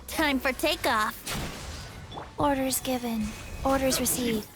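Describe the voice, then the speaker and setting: A woman's voice: high voice, slow. An actress voicing a confident female fantasy adventurer in a video game, giving short battle lines. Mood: commanding. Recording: game music behind.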